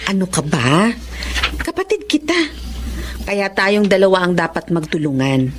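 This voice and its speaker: An actress playing a calm, supportive older sister. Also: female voice, lowish voice, measured pace, noisy background. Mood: warm. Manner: calm, reassuring.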